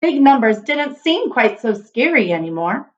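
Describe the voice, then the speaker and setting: A woman narrating a children's storybook in an expressive read-aloud style. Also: fairly high pitch, normal speed, highs cut off.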